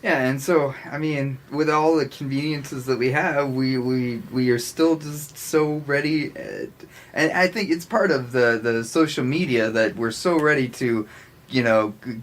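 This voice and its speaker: An American man chatting casually as a podcast co-host, often halting as he searches for his words. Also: medium pitch, fairly bright tone.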